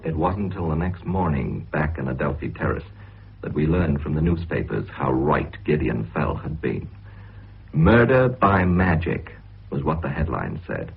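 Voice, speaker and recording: An actor playing a sober narrator of a radio mystery, deep and husky, telling it in a measured, grave way. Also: male voice, very animated, full character voice. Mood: grave, suspenseful. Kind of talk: dramatic scripted narration. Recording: thin, phone-like radio recording.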